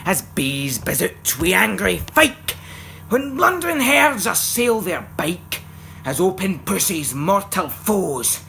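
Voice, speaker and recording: A Scottish man recites narrative verse in a theatrical, expressive manner. His pitch moves widely and his pacing is unhurried. The recording carries clear background noise. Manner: theatrical, expressive, swinging from hushed to loud. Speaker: poetry reciter. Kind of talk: dramatic recitation of a Scots narrative poem.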